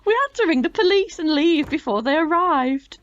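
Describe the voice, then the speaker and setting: Dull lo-fi recording, woman acting proud older British lady, animated pitch, genteel, theatrical, audio drama. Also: normal speed. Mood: conspiratorial. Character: chatty, proud older woman chairing a secret gardening club.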